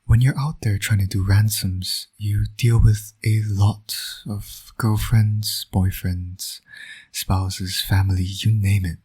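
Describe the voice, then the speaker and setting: A man playing a flirtatious villain and doting father in romantic roleplay audio, speaking in a smug, teasing, intimate way with a breathy, often near-whispered voice. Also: fairly low pitch, steady tone, slow, a little mellow, exaggerated voice. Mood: teasing, playful.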